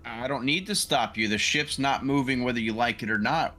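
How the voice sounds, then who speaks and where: A man's voice: medium pitch, brisk, sharp tone, soft background music. A man taking part as a player in a tabletop roleplaying game, talking casually and in character. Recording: faintly noisy room recording.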